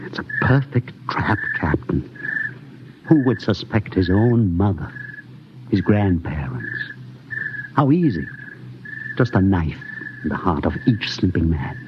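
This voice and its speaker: An actor playing a haunted ship's doctor in a 1950s radio drama, speaking earnestly and building his suspicions in a low, brooding way. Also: very animated, a little slow, long pauses. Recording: noisy, dull old 1950s radio broadcast.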